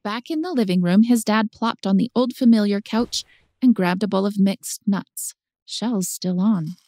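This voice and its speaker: A woman's voice: mid-range voice, measured pace, mellow, no room sound, clean studio sound. A woman narrating a story for an audiobook in a scripted style.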